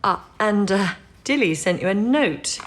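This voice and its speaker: An actress playing a steady, supportive senior wartime codebreaker, composed and matter-of-fact, in an audio drama. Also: female voice, mid-range voice, a little slow, slight background noise, natural voice. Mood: warm.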